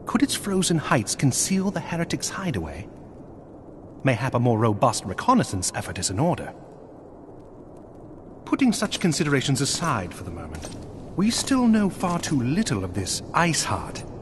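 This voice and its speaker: Casting: gracious lord of a knightly outpost, fantasy game; formal old-world diction, highly varied intonation. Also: male voice, wildly swooping pitch, normal speed, a little hiss or hum. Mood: thoughtful, resolute. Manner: formal, archaic, courtly phrasing. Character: earnest, gracious nobleman commanding a snowbound knightly outpost. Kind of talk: scripted fantasy game dialogue.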